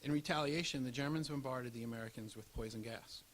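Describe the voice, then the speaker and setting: A man's voice: medium pitch, quick pace, low-quality recording. A man reading a scripted historical narration. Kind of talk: scripted documentary narration read aloud. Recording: audible hiss and background noise.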